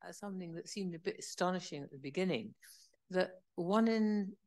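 A woman's voice: on the low side, brisk, darkish tone. A woman with a Scottish accent asking a speaker a question from the audience, hesitant and restarting phrases. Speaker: audience member.

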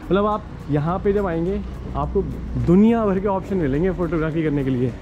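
A man's voice: sits high, brisk, highs cut off, over music. Chatty man vlogging on a street. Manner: chatty, enthusiastic tour-guide talk mixing English words. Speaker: travel vlogger. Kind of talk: walking travel vlog. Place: outdoors on quiet old town streets. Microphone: handheld camera at arm's length. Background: faint street ambience and vehicles.

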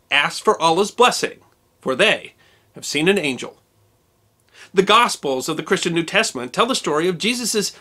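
A man's voice: high voice, pushed and bright. A middle-aged man hosting a history show, reading a scripted narration with emphatic, bouncing delivery in a home study. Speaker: history channel host. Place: home study lined with shelves.

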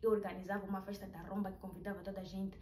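A woman tells a personal story in a chatty, animated way, filmed in a small bedroom with the camera some distance away. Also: medium pitch, very fast. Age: 20s. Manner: chatty, animated, with hand gestures. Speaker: vlogger. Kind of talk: casual storytelling vlog. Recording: roomy, a little distant, faint hiss.

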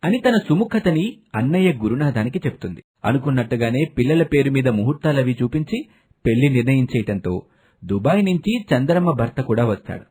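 A man's voice: mid-range voice, darkish tone, slightly rough. A man narrating a scripted moral story as a storyteller.

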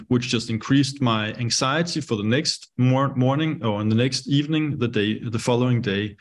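Danish man, halting and hesitant. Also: mid-range voice. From Denmark. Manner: hesitant, many fillers and restarts. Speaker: guest. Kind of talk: interview on a video call. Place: bright home room. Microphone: video call.

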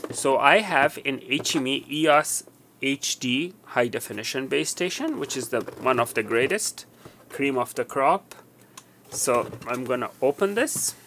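A man's voice: medium pitch, lively intonation, a little slow, sharp tone, slight background noise. A man in his fifties giving an unscripted product demonstration, explaining and rephrasing his points as he goes. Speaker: tech tutorial presenter.

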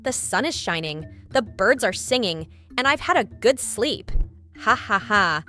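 A woman's voice: mid-range voice, animated, measured pace, sharp tone, soft background music. A woman voicing a moody purple cartoon cat in a comic dub, theatrical and swinging between sweet and snappish. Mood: cheerful. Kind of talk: animated comic dub acting.

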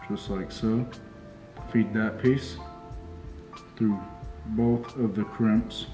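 A young man working as a fishing deckhand calmly walks through a hands-on demonstration step by step, often saying "just like so" as he works. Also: fairly low pitch. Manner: calm, unhurried, repeats "just like so" while working with his hands. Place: small indoor tackle shop workbench. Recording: roomy sound with music underneath.

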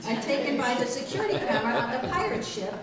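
A woman's voice: fairly high pitch, sing-song, fast, a loud crowd. Woman in echoey live comic skit. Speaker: amateur performer. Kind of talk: scripted comic radio-style stage skit. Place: small library room before a live audience. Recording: echoey room, audience noise, distant mic.

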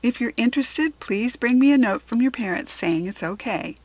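A woman's voice: fairly high pitch, animated, normal speed, no room sound, pitched above the performer's own voice. Woman as a firm, composed schoolteacher. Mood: announcing.

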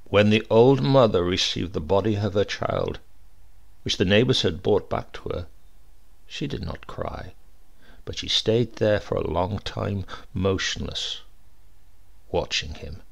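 A man narrating a dark short story in a grave, measured, dramatic way. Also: on the low side, creaky, highs cut off. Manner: measured, grave, slow phrasing.

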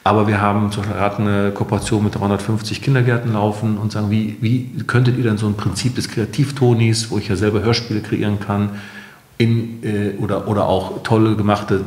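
A man's voice: fairly low pitch, little pitch movement, fairly quick, darkish tone. A middle-aged man answering interview questions as a company founder, searching for his phrasing and correcting himself. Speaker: company founder, podcast guest. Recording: roomy, echoey interview room.

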